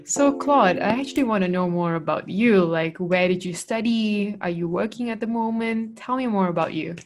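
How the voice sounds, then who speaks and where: A woman's voice: mid-range voice, normal speed, soft background music. A young woman from Malaysia co-hosting a podcast, chatting casually with many "you know" fillers. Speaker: podcast co-host. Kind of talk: casual interview chat.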